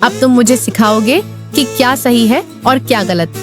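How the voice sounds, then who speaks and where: A woman's voice: a little high, unhurried, faint music behind, natural voice. A woman playing a greedy, scheming village wife in a cartoon, scolding and commanding with sarcasm. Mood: scheming, bossy. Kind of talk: Hindi cartoon voice acting.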